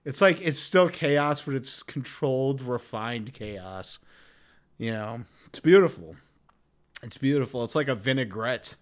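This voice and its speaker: A man's voice: mid-range voice, clear, resonant tone. A man in his forties hosting a comedy podcast, chatting casually in a profane, rambling way. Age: 40s. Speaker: podcast host.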